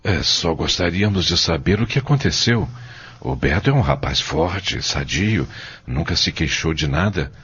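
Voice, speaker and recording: A man's voice: deep voice, expressive, moderate pace, a bit bright, husky, exaggerated voice. A Brazilian man playing a worried, earnest father in an audio drama, courteous and full of questions. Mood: concerned. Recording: dull lo-fi audio.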